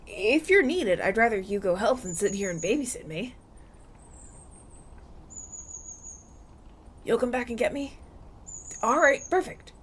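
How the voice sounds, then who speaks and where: A man's voice: extremely high pitch, very animated, normal speed, a bit bright, slightly rough, full character voice. A man playing a polite, anxious young runaway prince in an audio roleplay, stammering and hesitant as he talks. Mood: nervous, eager. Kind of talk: ASMR audio roleplay, acted one-sided dialogue.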